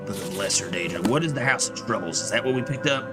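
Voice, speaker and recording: Male gamer, lowish animated voice, casual swearing commentary, loud music underneath. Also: fast. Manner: profane, muttering to himself. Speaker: gamer streaming a playthrough.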